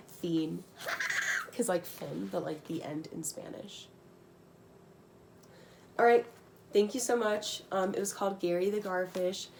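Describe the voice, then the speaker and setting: A woman's voice: medium pitch, slow, vocal fry, pauses often, noisy background. A young adult woman reading a rhyming children's storybook aloud, breaking into slangy, casual, giggly asides.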